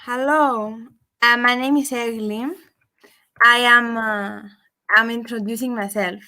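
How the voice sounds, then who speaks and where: A woman's voice: a little high, fairly even intonation, takes it slowly, sharp tone. A young woman from Cyprus whose first language is Greek, calling in and chatting in English with hesitant restarts and fillers. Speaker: caller. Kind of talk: casual call-in chat.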